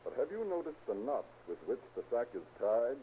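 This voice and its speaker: An actor playing a shrewd, sardonic police captain who questions with dry irony in 1930s radio drama style. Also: male voice, medium pitch, sing-song, moderate pace, mellow, lightly acted. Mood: thoughtful. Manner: probing, dryly ironic questioning. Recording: scratchy, muffled 1930s radio recording, hiss.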